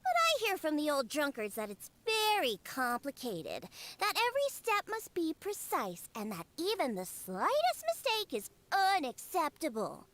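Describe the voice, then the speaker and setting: A woman's voice: sits high, wildly swooping pitch, measured pace, few pauses, a bit bright, full character voice. A woman voicing a cute, proud, stubborn young cat-girl bartender in an anime game, speaking in an emphatic, huffy way. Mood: matter-of-fact.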